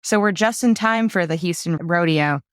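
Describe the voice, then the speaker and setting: Woman, thirties, very low voice, relaxed and conversational, dry close sound. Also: normal speed, no echo. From United Kingdom, raised in Canada. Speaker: cardiology fellow, guest.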